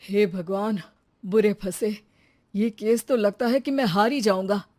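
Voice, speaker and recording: A woman's voice: mid-range voice, unhurried, warm tone, lightly acted. A woman voicing an arrogant, mocking young husband in a moral story, with a scornful, bossy manner. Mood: dismayed. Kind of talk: acted moral-story dialogue.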